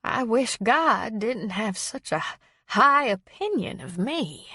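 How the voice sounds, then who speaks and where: An elderly, frail woman, as a female narrator plays her in an audiobook novel. She is gentle, forgetful and apologetic. Her lifted voice moves slowly and haltingly, with a plaintive edge, recorded very dry. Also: a little high, very animated, breathy edge, dry recording, pitched above the performer's own voice, heavily acted. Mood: sad, plaintive.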